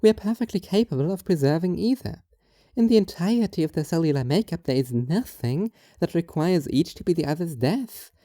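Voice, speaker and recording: A woman playing a strange, earnest young philosopher in an audio drama, talking in a formal, measured, literal way. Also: low-pitched, dark tone, no echo, studio quality. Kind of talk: audio drama monologue and dialogue.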